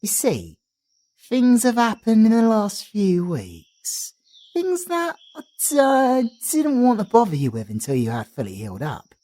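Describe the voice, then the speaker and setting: A man's voice: sits high, wildly swooping pitch, unhurried, warm tone, heavily acted. A British man voicing a cheerful, chatty male bard in an audio roleplay, doting and slangy as he talks. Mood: grave.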